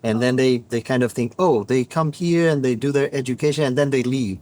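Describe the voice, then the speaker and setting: A man with a mid-pitched voice chats as a guest. He tosses in short, dry, matter-of-fact remarks and explains things plainly. The tone is relaxed and conversational. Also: a little fast. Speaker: guest, engineering professor. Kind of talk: casual conversation.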